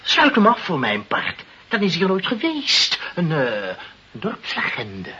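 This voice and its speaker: An actor playing a blustering, self-important director in a radio play, speaking pompously and haltingly and repeating himself. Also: male voice, a little high, wildly swooping pitch, pushed and bright, exaggerated voice. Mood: worried, halting. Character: blustering, self-important male director seeking face-saving deals. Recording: muffled old radio recording, light noise.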